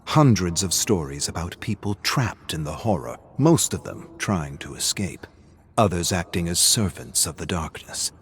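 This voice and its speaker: A brooding archivist in a game, deep low voice, slow careful journal entries, bit of noisy ambience behind. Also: male voice, lively intonation. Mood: intent, hopeful. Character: obsessive male archivist, trapped, brooding, determined to escape. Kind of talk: scripted in-character journal narration for a video game.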